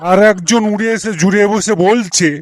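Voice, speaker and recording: A man's voice: high voice, steady tone, moderate pace, slight background noise, natural voice. A man with a West Bengal accent playing an irritable middle-aged village uncle who grumbles and scolds sarcastically in a comedy cartoon. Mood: sarcastic grumbling.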